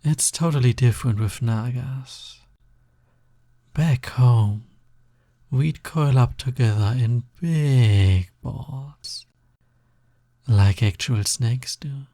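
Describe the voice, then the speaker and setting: A man plays a snake demon roommate in an intimate audio roleplay, flirty and pushy. His voice is slow and mellow, with a gravelly creak at the edges. He teases and coaxes in a casual, breathy way. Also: medium pitch, no room sound. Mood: coaxing, teasing. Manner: teasing, coaxing, casual.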